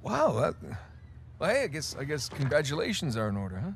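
An American man in his thirties playing a good-natured, gently sardonic retired adventurer in a video game, casual and a little hesitant. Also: a little high, wildly swooping pitch, fairly quick, slight background noise. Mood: friendly, surprised. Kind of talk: video game cutscene acting. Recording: music under the voice.

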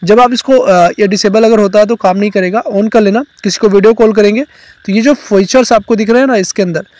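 High-pitched Indian man giving a tutorial. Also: fast talker, darkish tone, no room sound. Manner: instructional, mixes English terms into speech. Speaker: tech tutorial host. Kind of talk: casual screen-recorded phone tutorial.

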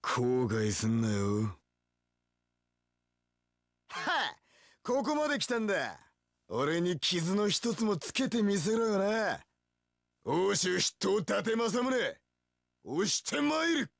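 A cocky young samurai warlord for a video game. He drawls slowly with rough swagger, swinging widely in pitch and tossing in English catchphrases. The sound is dry and close. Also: big pitch swings, no room sound, full character voice. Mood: fired-up. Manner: swaggering, rough tough-guy speech peppered with English catchphrases. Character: cocky, cool young male samurai warlord, swaggering one-eyed dragon. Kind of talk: video game battle voice lines.

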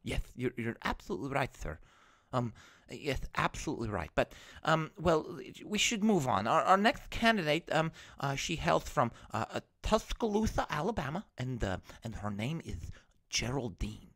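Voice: mid-range voice, big pitch swings, normal speed, a bit bright, dry recording, studio quality. A performer playing a nervous, deferential assistant in a comedy sketch, full of stammers and hesitations.

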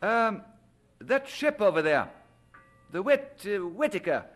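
Gentleman reverend: high voice, polite, inquisitive, reserved, radio play. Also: big pitch swings, takes it slowly, soft background music, full character voice. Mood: curious, guarded. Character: reserved, inquisitive gentleman clergyman returning from colonies. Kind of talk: radio drama acting.

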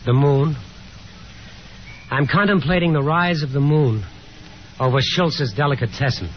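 An actor playing a sardonic, restless young son in a 1950s radio drama, with a dry, teasing delivery. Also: male voice, on the high side, unhurried, slight background noise, close to an everyday voice. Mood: wry, casual. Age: young adult. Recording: dull 1950s radio broadcast.

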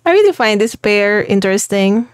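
A woman's voice: mid-range voice, big pitch swings, normal speed, no echo, studio quality, soft background music. A young Filipino woman with a Tagalog background, chatting cheerfully as she plays a game and talks to her viewers, with frequent laughs. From Philippines. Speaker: gaming channel host. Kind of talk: let's play commentary.

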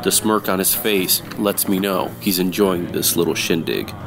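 Man playing a low-pitched hard-boiled detective. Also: moderate pace, vocal fry. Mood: sardonic. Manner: deadpan, slangy. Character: wisecracking hard-boiled male detective, sardonic and unfazed. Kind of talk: old-time radio noir narration.

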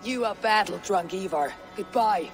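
An actor playing a grim, blunt Viking raider in a game cutscene. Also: male voice, sits high, soft background music. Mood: angry. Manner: blunt, measured. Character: stern male Viking raider, grim and steady. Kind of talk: video game cutscene acting.

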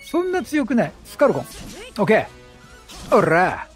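A man giving casual video game commentary, chatty and jokey, reacting out loud with exclamations. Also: high voice, huge pitch swings, measured pace, soft background music. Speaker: game streamer.

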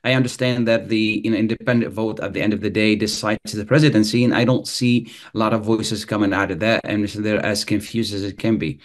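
A man's voice: on the low side, fairly even intonation, fairly quick, few pauses. A middle-aged man hosting a radio interview show, talking conversationally with frequent restarts, on a webcam. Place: studio with video backdrop.

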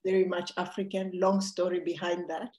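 Woman, middle-aged, Kinyarwanda first language, podcast guest, warm and laughing, restarts often, roomy video-call sound. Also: fairly low pitch, little pitch movement, normal speed. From Zambia, raised in DR Congo. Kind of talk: casual interview storytelling. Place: home office with bookshelf, video call. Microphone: video call computer mic.

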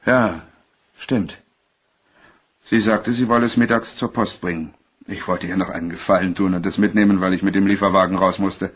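Deep, husky, guarded clerk. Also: male voice, fairly even intonation, normal speed, a bit bright. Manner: matter-of-fact, slightly guarded answers. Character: guarded, plain-spoken bachelor shipping clerk at small firm. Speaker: actor. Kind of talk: crime radio play dialogue.

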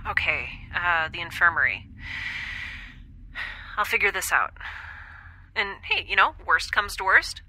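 A woman's voice: fairly low pitch, sharp tone, heavily acted, rough recording. A woman playing a wry, introspective young scientist in space, speaking a hesitant, conversational audio drama monologue. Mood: nervously upbeat.

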